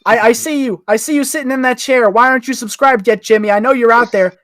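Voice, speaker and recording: A young adult man chatting casually as a podcast guest, with a joking, animated manner. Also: very high-pitched, fast, no echo, studio quality. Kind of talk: casual chat.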